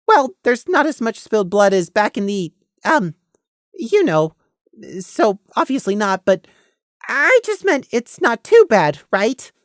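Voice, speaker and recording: Woman doing a careful earnest young boy pony for an audio story, really high n bouncy pitch. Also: sing-song, measured pace, no echo, clean studio sound. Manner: careful, hesitant, analytical. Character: Prey, earnest, cautious, careful-thinking young male pony.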